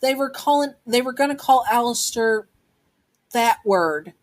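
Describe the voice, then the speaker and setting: A woman's voice: fairly high pitch, animated, a little slow, voice pushed up. A woman voicing a bold, blunt young child who fiercely protects a friend, in an amateur story narration. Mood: upset, protective. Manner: defiant, blunt.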